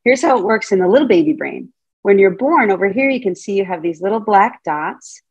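A woman's voice: medium pitch, animated, fairly quick, darkish tone, breathy edge, no room sound, muffled recording. A middle-aged woman, a Montessori teacher trainer, giving a casual, playful talk over a video call and often asking her audience questions. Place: home room, indoors. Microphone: video call webcam.

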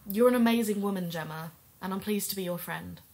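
A woman's voice: mid-range voice, a little fast, vocal fry, a little mellow, close to an everyday voice. An actress playing a sincere, determined woman who co-hosts an investigative podcast in an audio drama. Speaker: podcast co-host.

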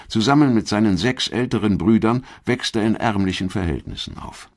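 A man narrating a scripted historical audio documentary in a measured, clearly articulated way. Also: on the low side.

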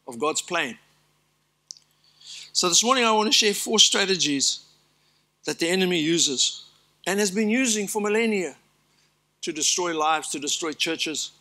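Older south african pastor preaching on a church stage, bright voice, a bit high, stresses his lists. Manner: earnest preaching with emphatic lists and asides. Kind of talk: sermon.

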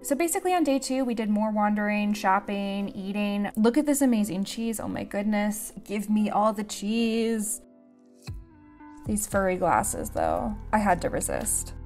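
A woman's voice: medium pitch, big pitch swings, unhurried, darkish tone, soft background music. A young woman narrating her travel and crafting vlog in a voice-over, enthusiastic and gushing. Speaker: vlogger.

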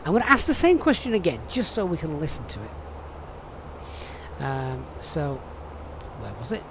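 A man's voice: on the high side, huge pitch swings, a little slow, a bit bright, slight background noise. A man streaming a flight simulator, chatting and reading out viewer questions with many ums and uhs. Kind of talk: live stream commentary.

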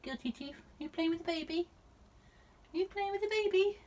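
A woman filming a casual vlog on her phone outdoors at a campsite, cooing at her cats in a high baby voice. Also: fairly quick, a little mellow. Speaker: vlogger. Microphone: handheld phone.

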